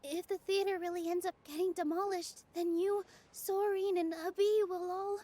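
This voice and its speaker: An actress playing an earnest, kind-hearted young dancer who sounds a little nervous, in a game cutscene. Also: female voice, very high voice, fairly even intonation, normal speed, dark tone. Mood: worried. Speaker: theater performer.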